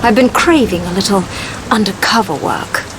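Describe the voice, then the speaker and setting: An actress playing a cool, sardonic wartime spy handler with a British accent in a video game cutscene. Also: female voice, mid-range voice, moderate pace, noisy background, lightly acted. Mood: businesslike, teasing. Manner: cool, crisp, dryly teasing. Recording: music behind the dialogue.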